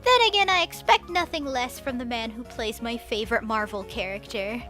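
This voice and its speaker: A young woman reading a scripted movie review as a voice-over, enthusiastic and expressive with casual slang. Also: fairly high pitch, normal speed, soft background music.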